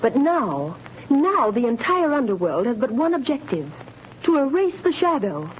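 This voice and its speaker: An actress playing a worried, pleading companion in a 1930s radio drama. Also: female voice, high-pitched, sing-song, normal speed, slight background noise. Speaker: lead's companion. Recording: muffled 1930s radio broadcast.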